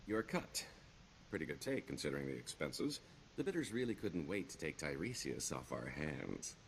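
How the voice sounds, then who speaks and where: Video game rogue: wry, scheming career criminal, middle-aged, theatrical and wheedling, low-pitched with very lively inflection. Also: male voice, moderate pace, a little hiss or hum, heavily acted, poor audio. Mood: wry, boastful. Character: roguish male career criminal, wry, boastful, scheming veteran.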